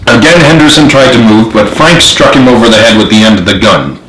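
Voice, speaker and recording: A man's voice: mid-range voice, expressive, a little fast, fairly bright tone, slight background noise. A man reading his own novel aloud as author, in dramatic storybook narration. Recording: roomy, echoey home recording.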